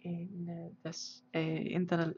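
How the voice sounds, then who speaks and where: A dull, lo-fi livestream recording of a woman with a Swedish accent. She talks slowly and casually, pausing often and hunting for English words as she hosts. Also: lowish voice, completely flat delivery, a little mellow, slight background noise. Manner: hesitant, halting, searching for English words. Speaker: livestream host, artist. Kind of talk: casual livestream chat while drawing.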